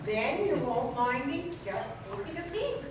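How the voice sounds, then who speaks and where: A woman's voice: high-pitched, sing-song, measured pace. A woman playing a nosy, wheedling wife in an old-time radio comedy performed on stage. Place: amateur club stage in an echoey hall. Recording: echoey hall, distant, noisy.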